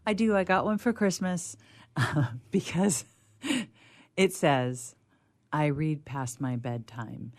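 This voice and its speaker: A woman with a notably low voice joins a casual discussion. She is chatty and informal, offering small personal stories with a self-teasing touch. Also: slow pace, darkish tone. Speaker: discussion participant. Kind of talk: casual chat, personal anecdotes.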